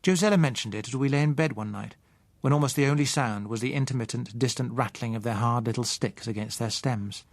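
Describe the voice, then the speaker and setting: A man reading a first-person novel as an audiobook narrator, measured and articulate. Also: medium pitch, no room sound.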